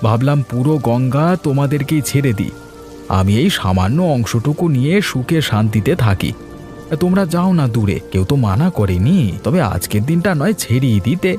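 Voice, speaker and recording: A modest older fisherman, cautious and wry, in a cartoon folk tale, played by a man. His voice is mid-pitched and quick, over faint music. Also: animated, a little mellow, natural voice. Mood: mild, wary. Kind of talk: Bengali moral-tale cartoon voice acting.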